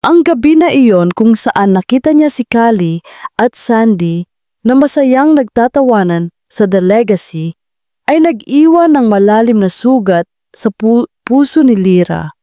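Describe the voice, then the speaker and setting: A woman's voice: fairly high pitch, lively intonation, unhurried, mellow, no room sound, clean studio sound. A woman narrating a scripted romance drama as a storyteller, in a dramatic style.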